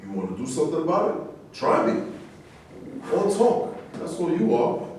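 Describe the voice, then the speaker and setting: A man's voice: fairly high pitch, sing-song, normal speed, darkish tone, a little hiss or hum. A man acting in a live staged reading as a combative, taunting fighter full of macho pride, with a scornful, challenging delivery. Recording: very echoey room, far from mic.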